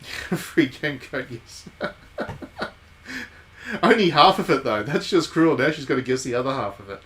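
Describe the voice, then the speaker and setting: A man's voice: medium pitch, animated, a little slow, a bit bright. A man giving casual live commentary on a game, with wry, playful asides and some reading of on-screen text. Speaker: game streamer and former journalist. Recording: clear background noise.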